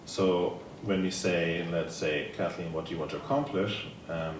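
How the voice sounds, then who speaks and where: A man's voice: low-pitched, steady tone, moderate pace. A man from Germany with a German accent gives a conference presentation, telling stories in a casual, upbeat way and answering questions. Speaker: table tennis program leader presenting. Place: conference room. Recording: echoey room, from far off, noisy.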